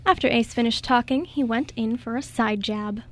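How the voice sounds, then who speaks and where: A woman's voice: fairly high pitch, moderate pace. A woman reading scripted story narration as the narrator of a radio drama.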